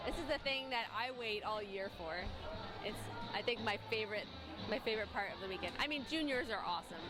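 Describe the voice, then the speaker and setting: A woman's voice: medium pitch, quick pace, poor audio. A woman giving bubbly, enthusiastic live commentary at a dance event, giggling often. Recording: live stream with loud music and crowd behind. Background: loud swing music and crowd.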